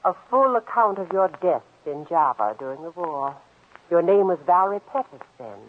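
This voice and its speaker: A woman playing a cold, scheming, middle-aged older sister in a 1940s radio drama, speaking in a clipped, measured, commanding way. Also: lowish voice, lively intonation, phone-quality sound. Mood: probing, controlled. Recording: band-limited old radio recording.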